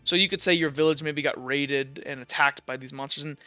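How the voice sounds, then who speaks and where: A man's voice: on the high side, fairly even intonation, brisk, sharp tone, no room sound, muffled recording, soft background music. A man acting as game master, improvising tabletop roleplay narration in a casual, loose way, searching for words.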